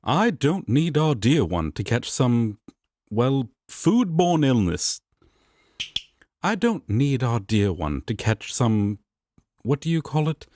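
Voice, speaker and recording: A man playing a prim, fussy but caring angel roommate in an audio roleplay, speaking in a formal, careful, disapproving way with a soft, husky voice. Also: mid-range voice, big pitch swings, slow pace, no echo, clean studio sound. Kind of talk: fan audio roleplay acting.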